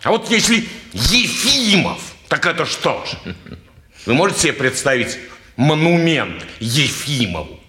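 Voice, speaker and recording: A man's voice: on the high side, very animated, unhurried, pushed and bright, heavily acted. An actor playing a pompous, touchy petty townsman who philosophizes, in 1950s Russian stage style. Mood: musing, mocking. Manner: ponderous, self-important, sententious. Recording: roomy old radio theatre recording.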